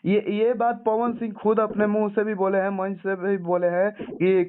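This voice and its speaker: A man's voice: sits high, flat delivery, normal speed, a little hiss or hum. A man conducting a phone interview for a news channel, polite and deferential toward his guest. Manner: polite, deferential, often murmuring assent. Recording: narrow-band phone call recording.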